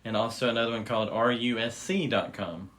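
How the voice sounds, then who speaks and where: A male podcast host talks in a casual, conversational way. His voice sits at an average pitch for a man. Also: steady tone, brisk, fairly bright tone. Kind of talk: casual chat.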